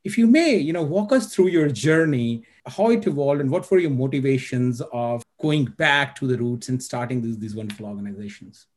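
A man's voice: medium pitch, expressive, normal speed, few pauses, a little mellow. A man hosting an online speaker-series interview, giving formal introductions with many hesitant "uh" fillers.

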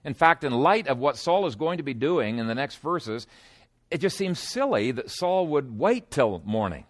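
Conversational male preacher, mid-pitched voice. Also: big pitch swings, moderate pace. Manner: informal, frequent hesitations, rhetorical questions. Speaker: pastor preaching to a congregation. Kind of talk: conversational expository sermon with personal anecdotes.

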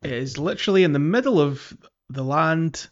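A man's voice: fairly high pitch, lively intonation, moderate pace, muffled recording. A man co-hosting a casual gaming podcast, chatting in a jokey, teasing way. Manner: jokey, teasing banter with co-hosts. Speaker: podcast host.

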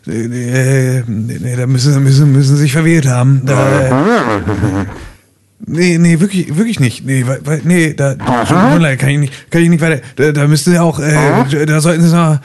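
A man's voice: fairly high pitch, moderate pace, darkish tone, natural voice. A man voicing a jittery, paranoid conspiracy nut in a tabletop game, stammering and repeating himself. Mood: nervous, evasive. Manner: stammering, repeating words in panic.

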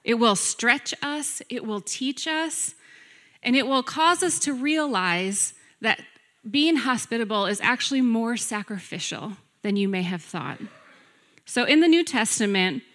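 A middle-aged woman delivers a personal teaching sermon in a bright, projected voice of medium pitch. Her phrasing is earnest and deliberate, with key words and lists given clear emphasis. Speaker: guest preacher.

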